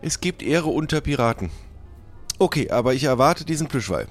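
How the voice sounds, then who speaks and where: A man's voice: medium pitch, big pitch swings, normal speed, soft background music. A man playing a flustered, wary shopkeeper in a pirate role-play, stammering and repeating his words as he haggles. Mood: wary, businesslike. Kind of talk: improvised role-play dialogue.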